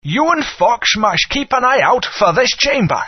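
An actor playing an old male wizard mentor, grave and weary, with a solemn, slow storytelling delivery and a husky voice. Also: extremely high pitch, big pitch swings, full character voice. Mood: urgent. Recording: muffled lo-fi game audio.

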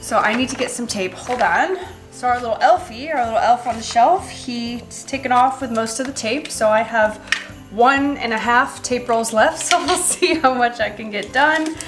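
A woman's voice: on the high side, normal speed, over music. Nasal woman chatting casually at home. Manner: chatty and relaxed, many ums. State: congested from a cold, nasal. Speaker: lifestyle vlogger. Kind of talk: casual chat while wrapping gifts. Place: echoey home kitchen and living room.

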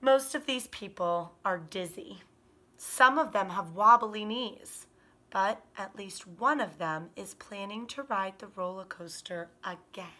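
Woman doing storytime for little kids, bright voice, takes her time, stretches words out for effect. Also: mid-range voice, slight background noise. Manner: animated, expressive, drawn-out emphasis on words. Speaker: kindergarten teacher. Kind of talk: picture book read-aloud for children. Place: small living room by a fireplace, indoors.

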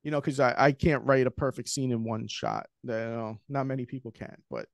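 A man's voice: medium pitch, fairly quick, creaky, no echo, clean studio sound. A man in his forties with a New York accent, a screenwriter talking casually and animatedly as a guest on a video call. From Queens, New York. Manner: talkative, animated, gestures while talking. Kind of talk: casual interview chat. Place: home room with shelves. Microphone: studio mic with headphones, video call.

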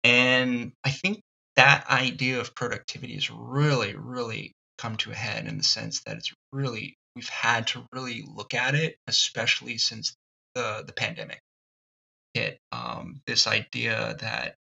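A man hosting a solo podcast, thinking out loud in a thoughtful, halting way with many ums and restarts. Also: mid-range voice, fairly even intonation, creaky, husky, rough recording. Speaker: podcast host. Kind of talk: reflective solo podcast monologue.

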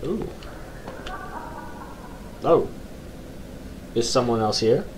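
A young man with a low voice commentating a horror game from his bedroom, joking with mock bravado and reading the game's text aloud. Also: expressive, extremely slow. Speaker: gaming YouTuber. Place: small bedroom, indoors. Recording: noisy home recording.

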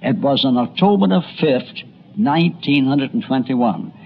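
A man's voice: medium pitch, lively intonation, measured pace, creaky, slight background noise. A man delivering scripted narration for a radio documentary. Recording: dull vintage broadcast audio.

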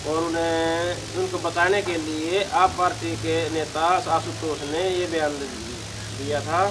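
Halting man over engine rumble. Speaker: interview guest, contest organiser. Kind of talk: explanatory interview answer. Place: seated outdoors in front of banners. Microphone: handheld news microphone held to him. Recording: noisy outdoor sound, idling vehicle rumble.

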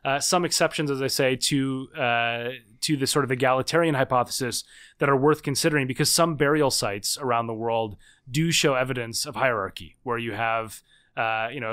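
A man in his thirties answers questions on a podcast, his voice sitting at an ordinary middle pitch. He works through his points carefully, hedging and restarting as he goes, with plenty of fillers. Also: fairly bright tone, clear, resonant tone. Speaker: podcast guest, author. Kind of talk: conversational interview.

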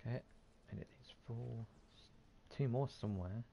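A man's voice: medium pitch, normal speed, dark tone. A man giving casual, sweary commentary on his gameplay, often muttering to himself over video game sounds. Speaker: gaming video host. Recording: clear background game noise.

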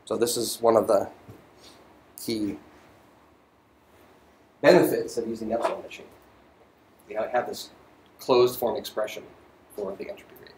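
Guy lecturing at a uni, thinks out loud and halts a bit, echoey room w faint noise. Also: fairly low pitch, little pitch movement, normal speed, pauses often. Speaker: university physics lecturer. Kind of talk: lecture voiceover on slides, informal explaining. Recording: roomy echo, faint background noise.

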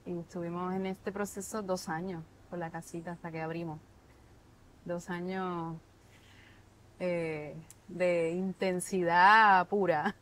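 A woman's voice: lowish voice, steady tone, slow pace, fairly bright tone, a little hiss or hum, rough recording. A Puerto Rican woman chef in her thirties, answering interview questions in a thoughtful way, restarting often, recorded with a lapel mic on an outdoor patio. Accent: Puerto Rican Spanish. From Puerto Rico. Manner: thoughtful, many restarts and filler words.

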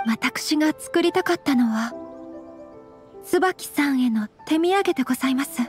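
A woman playing a refined, gentle young noblewoman in an anime game, speaking formally, courteously and earnestly. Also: high-pitched, expressive, a little slow, faint music behind. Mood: polite, gentle. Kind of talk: anime game character acting.

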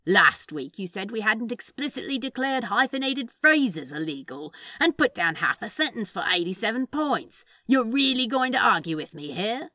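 A woman's voice: on the high side, big pitch swings, moderate pace, hardly any pauses, bright, forceful tone, no room sound, clean studio sound. A woman voicing a teasing, sardonic male demon in a fan fiction reading, with a sly, casual drawl. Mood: playful.

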